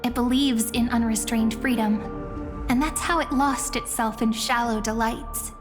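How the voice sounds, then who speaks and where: Young woman guide acted by a woman, earnest, gentle, measured, slightly high voice, game story dialogue. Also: steady tone, natural voice. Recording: background music under the voice.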